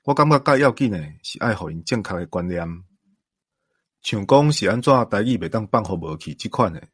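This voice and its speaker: A man's voice: on the low side, animated, a little fast, breathy edge, dry recording, studio quality. A man with a Taiwanese accent giving his views in a conversational discussion, earnest and emphatic. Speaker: commentator.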